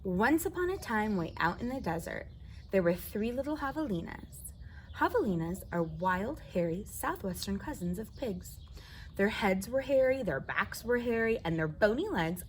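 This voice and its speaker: A woman's voice: mid-range voice, big pitch swings, normal speed, a little hiss or hum. An American woman telling a children's story aloud, expressively and addressing her young listeners directly. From southwestern United States desert.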